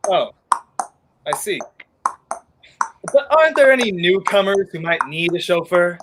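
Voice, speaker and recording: A man's voice: high voice, lively intonation, a little slow. Noisy recording, man acting polite guarded fugitive, swinging intonation, old-time radio drama. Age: young adult. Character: polite, guarded male fugitive passing as a jobseeker. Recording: noisy home recording with clicks.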